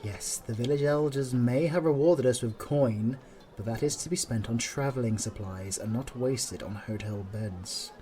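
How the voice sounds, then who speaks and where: A man's voice: mid-range voice, normal speed, warm tone, lightly acted. A British man playing a stern, aloof veteran demon hunter in a fantasy audio roleplay, speaking haughtily and formally to the listener. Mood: exasperated. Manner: haughty, clipped, dryly formal.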